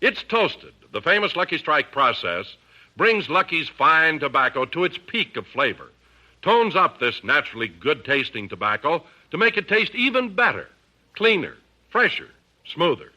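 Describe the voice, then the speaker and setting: A man's voice: a little high, big pitch swings, moderate pace, sharp tone, poor audio. A man announcing in scripted 1950s radio commercial style, with an emphatic sales delivery.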